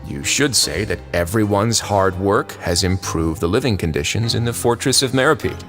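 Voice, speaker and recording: A man voicing a calm, composed male prison warden in a video game, measured and wry as he reasons things out. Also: fairly low pitch, faint music behind. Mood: reasoning. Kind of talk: game voice acting.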